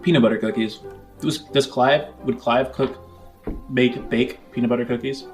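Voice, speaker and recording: A man's voice: medium pitch, steady tone, normal speed, a little mellow. Guy hosting a tabletop rpg show as gm, mid-range voice, faint music under him. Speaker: host and game master.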